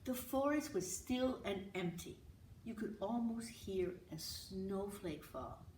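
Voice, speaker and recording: A woman's voice: mid-range voice, slow. A woman author reading a children's picture book aloud expressively, with small asides to the listeners. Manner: expressive, dramatic. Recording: roomy, distant mic with hiss.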